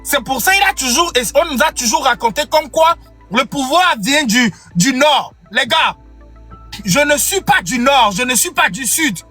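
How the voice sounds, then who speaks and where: A man's voice: extremely high pitch, animated, normal speed, bright, forceful tone, faint music behind. A young man giving an impassioned political speech, repeating phrases for emphasis. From Togo. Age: young adult. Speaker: activist.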